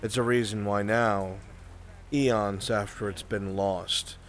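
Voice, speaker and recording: Man playing a slow, grave fantasy mage. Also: fairly low pitch, fairly even intonation, a little hiss or hum. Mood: brooding, ominous. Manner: slow, measured, solemn, speaking in weighty repetitions. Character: grave male mage mentor warning of magic's dangers. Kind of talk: improvised fantasy roleplay acting. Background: rain.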